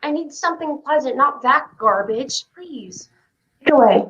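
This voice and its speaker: An anxious child pleads in a high voice performed by a woman. The delivery is that of a staged play reading. The sound is slightly dull, like livestream call audio. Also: lively intonation, moderate pace, a bit bright. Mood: distressed. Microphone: video call.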